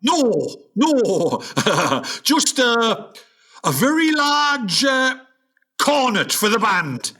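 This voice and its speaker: A man plays an aging jazz musician dad in a comic radio play. He is blustering and defensive, with a high, forceful voice. His delivery is theatrical and swings widely in pitch. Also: very animated, drawn-out words, exaggerated voice. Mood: flustered, evasive. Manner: theatrical, stammering when cornered.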